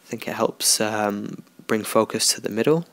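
A man talking through a software tutorial in a relaxed, unscripted way, thinking aloud as he works. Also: lowish voice, moderate pace, no room sound. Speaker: tutorial host. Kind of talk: screen-recorded software tutorial.

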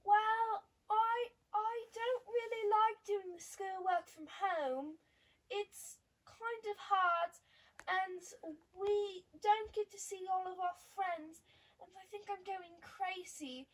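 A man's voice: very high voice, fairly even intonation, slow, a bit bright, far from the mic, voice pushed up, heavily acted, poor audio. A man voicing a glum, fretful female puppet character who complains plaintively, heard slightly away from the mic. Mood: sad, worried.

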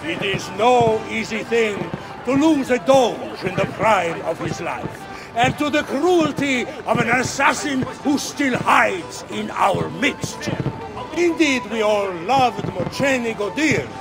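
A man's voice: very high-pitched, animated, takes it slowly, full character voice. An actor playing a grandiose Venetian nobleman, declaiming a pompous public speech in a video game. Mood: solemn, orating. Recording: voice under loud game music.

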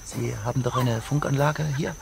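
An actor playing a talkative, rattled shipwrecked husband in naturalistic radio drama dialogue. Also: male voice, mid-range voice, fairly even intonation, moderate pace, creaky, close to an everyday voice. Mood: relieved, anxious. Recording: noisy outdoor sound scene.

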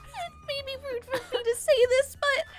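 Timid visual-novel schoolgirl: extremely high pitch, apologetic, halting delivery. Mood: timid, hesitant. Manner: hesitant, stammering. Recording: game music behind.

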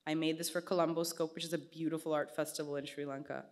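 Lively woman telling stories on stage. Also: deep voice, quick pace. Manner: animated storytelling, gestures a lot, acts out quoted voices. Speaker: artist guest. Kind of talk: casual onstage conversation. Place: small gallery event space, indoors. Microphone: stand mic.

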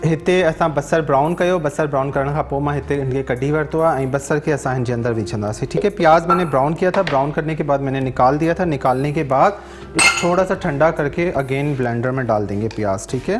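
A man's voice: on the high side, brisk, faint music behind. A man in his thirties with a Sindhi accent, hosting a live TV cooking show in a studio kitchen and chatting his way through a recipe. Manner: chatty, switches between languages, checks in with "theek hai?" often. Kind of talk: live TV cooking demonstration with phone-in callers.

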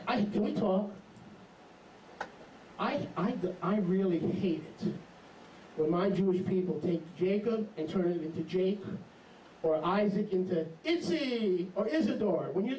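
A middle-aged man preaching an animated, emphatic sermon into a handheld mic in a small congregation hall. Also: high-pitched, slight background noise. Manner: animated, emphatic, jumps into mocking voices. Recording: echoey hall, camera far off.

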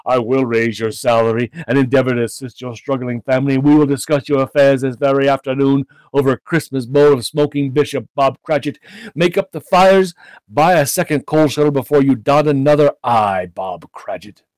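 A man's voice: fairly low pitch, moderate pace, darkish tone, dry recording, natural voice, rough recording. A man acts out an old miser who has found joy, in a classic storybook tale. He blusters with mock sternness and exclaims with hearty generosity. The sound is close and dry. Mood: jubilant, hearty. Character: reformed old male miser, gleeful, mock-gruff, bursting with generosity.

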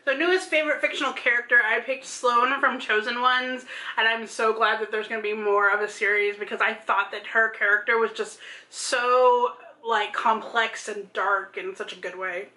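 A woman's voice: on the high side, fairly even intonation, normal speed, pushed and bright. A woman in her thirties chatting casually about books as a vlog co-host, gushing and emphatic. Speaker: book vlogger, co-host. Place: small room at home. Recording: roomy home video sound.

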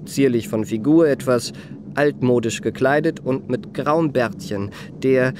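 A man's voice: medium pitch, a little slow, background noise, lightly acted. An actor playing a suave, self-possessed young confidence trickster, narrating his memoirs in polished literary style for a radio play. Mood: polished, composed. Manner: polished, courteous, composed.

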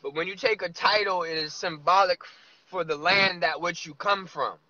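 A man in his thirties vlogging from inside a car, musing loosely with drawn-out asides. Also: high voice, pushed and bright, no echo. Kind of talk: casual rambling monologue. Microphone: phone camera on dashboard. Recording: lo-fi phone audio.

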